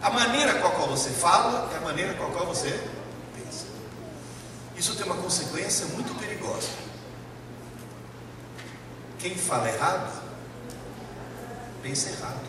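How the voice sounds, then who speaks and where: A man's voice: high-pitched, big pitch swings, a little fast, long pauses, crowd noise. A man from Italy with an Italian accent, a professor lecturing emphatically to a live audience and putting rhetorical questions to them. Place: large lecture hall. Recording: echoey hall, distant mic, noisy.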